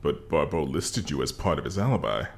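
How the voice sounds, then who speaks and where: A man plays a gruff middle-aged detective in an audio drama. His voice is deep and gravelly, terse and dry yet swinging in intonation. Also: very animated, fairly quick, full character voice. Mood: probing.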